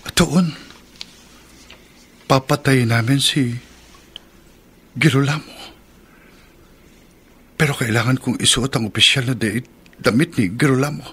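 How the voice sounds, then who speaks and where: Man acting conspiring lover, radio drama monologue, medium pitch, sharp earnest delivery, slight background noise. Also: measured pace, long pauses, close to an everyday voice. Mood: urgent, conspiring. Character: determined, secretive male lover plotting murder. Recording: faint background ambience noise.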